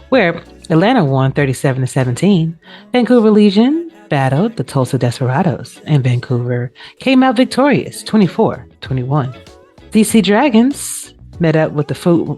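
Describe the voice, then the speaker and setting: A woman's voice: deep voice, warm tone. A woman hosting a casual sports recap show, talking in a chatty, informal way. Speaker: show host.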